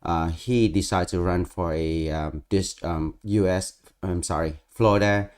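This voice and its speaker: Interview host: male, low voice, casual, halting delivery with searching pauses. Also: a little mellow, clear, resonant tone.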